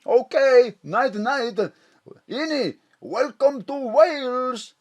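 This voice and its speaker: A man's voice: very high voice, lively intonation, takes it slowly, exaggerated voice. A man playing a chatty Greek landlord with a Greek accent, cheeky and jokey, in broken English. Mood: jovial. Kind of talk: audio drama acting.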